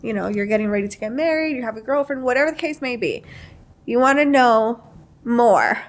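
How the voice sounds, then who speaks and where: A woman hosts a chatty podcast interview in a fairly high voice. She speaks informally, circling into her questions and opinions with frequent "you know". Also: expressive, brisk. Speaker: podcast host.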